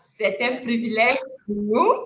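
High, bright, exuberant young woman host. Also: measured pace, roomy sound, a little hiss or hum. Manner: exuberant, laughing, hyping guests. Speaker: talk show host. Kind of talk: online talk show hosting. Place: home room, video call. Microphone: webcam mic on video call.

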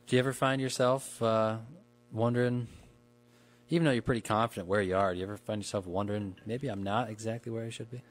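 A man's voice: on the low side, steady tone, quick pace. A man chatting casually and colloquially as a podcast conversation partner. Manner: informal, colloquial. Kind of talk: casual chat.

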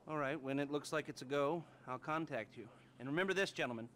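Dry heist planner, sharp higher voice on speakerphone, theatrical, noisy ambience. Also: male voice, fast. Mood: relishing. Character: dry, sardonic criminal planner on a phone. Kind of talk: cinematic game acting. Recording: speakerphone voice, outdoor ambience noise.

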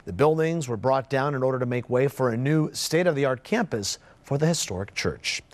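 A man's voice: medium pitch, animated, moderate pace, faint music behind. A man reading scripted television news as an anchor.

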